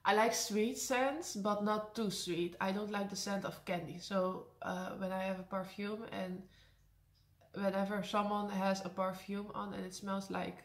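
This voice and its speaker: A young Dutch woman with a high voice and a Dutch accent, chatting casually through a Q&A, laughing often and slipping in sarcastic asides. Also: fairly even intonation, a little slow. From Netherlands. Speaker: vlogger. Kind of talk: casual Q&A to camera. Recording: echoey room.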